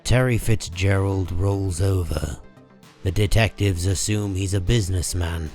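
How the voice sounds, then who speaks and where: A man narrates a comic book story in a deep voice. His delivery is slow, solemn and measured, with soft music underneath. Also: fairly even intonation. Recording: music behind the voice.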